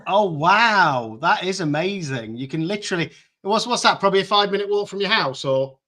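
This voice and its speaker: Chatty guy hosting a live stream, highish bright voice, friendly and encouraging, video call audio. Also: animated, a little fast. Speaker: host. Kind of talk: casual live-stream chat.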